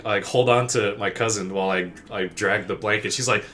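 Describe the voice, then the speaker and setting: A man's voice: on the low side, fairly even intonation, a little fast, fairly bright tone, a little hiss or hum. A man hosting a podcast, casually telling an anecdote with plenty of quoted dialogue and swearing. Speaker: podcast host.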